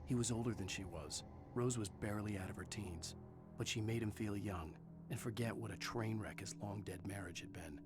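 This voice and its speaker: An actor playing a troubled, brooding writer hero in a video game, with a grave, measured delivery. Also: male voice, fairly low pitch, natural voice. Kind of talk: scripted narration and drama in a video game. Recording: game audio with music behind.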